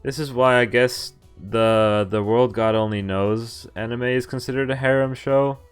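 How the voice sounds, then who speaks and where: A man's voice: mid-range voice, fairly even intonation, measured pace, smooth. A man streaming a visual novel, reading it aloud with casual, amused asides. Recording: background game music behind voice. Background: game music.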